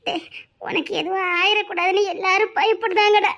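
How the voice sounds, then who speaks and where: A woman's voice: very high-pitched, fairly even intonation, brisk, fairly bright tone. An actress playing a family woman who firmly reassures and scolds a grieving man, telling him to stop crying. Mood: reassuring. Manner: scolding yet reassuring, calls him "daa". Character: firm, comforting woman of the family. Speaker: relative consoling a grieving man. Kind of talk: serial drama acting.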